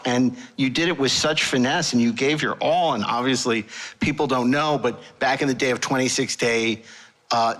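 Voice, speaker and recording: A man's voice: medium pitch, fairly bright tone, poor audio. A man hosting a casual panel podcast, chatting with guests in a jokey, teasing way. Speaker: podcast host interviewing guests.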